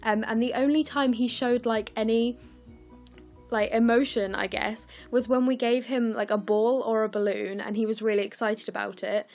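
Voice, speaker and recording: Chatty young uk woman, croaky scratchy voice, lots of ums, bit of music underneath. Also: a little high, fairly even intonation, moderate pace, darkish tone. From United Kingdom. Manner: chatty, apologetic, frequent ums and likes. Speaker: scrapbooking vlogger. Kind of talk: casual process video voice-over.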